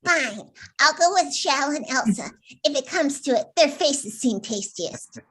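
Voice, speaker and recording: A woman voicing an eccentric, eerily eager little female cartoon rodent in an odd, drawn-out way at a comedy table read. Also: high-pitched, lively intonation, fairly bright tone. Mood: creepy.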